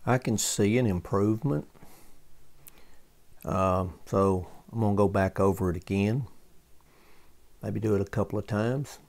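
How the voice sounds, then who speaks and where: A man with a low, gravelly voice talks through a hands-on repair job. He is folksy and casual, pausing often as he thinks aloud. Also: a little mellow. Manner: folksy, halting, thinking aloud while working. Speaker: host of a vintage radio restoration channel.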